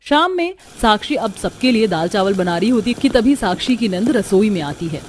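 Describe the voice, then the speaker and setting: A woman's voice: medium pitch, lively intonation, moderate pace, slight background noise. A woman reading scripted narration for a children's cartoon story.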